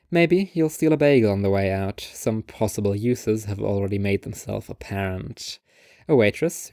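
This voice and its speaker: Low-voiced man narrating, dry close sound. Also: darkish tone, no echo. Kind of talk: scripted second-person story narration.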